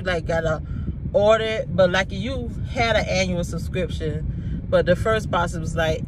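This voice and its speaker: A woman, chatting casually and jokingly to her viewers from her car. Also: medium pitch. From South Carolina, USA. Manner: animated, joking, talks to herself aloud. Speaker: vlogger. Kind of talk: casual chat. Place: parked car, indoors. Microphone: phone on the dashboard. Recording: distant phone mic, loud car noise.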